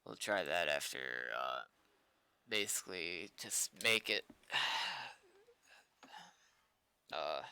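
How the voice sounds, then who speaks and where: Slow, crisp-voiced American man thinking aloud. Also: sits low, lively intonation, bright, forceful tone, dry recording. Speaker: game developer livestreaming. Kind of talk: casual livestream chat, thinking aloud while coding.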